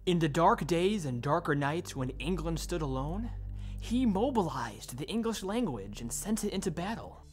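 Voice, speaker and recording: A man's voice: on the high side, sing-song, moderate pace, faint music behind. A man performing a scripted recitation in a readers theater piece.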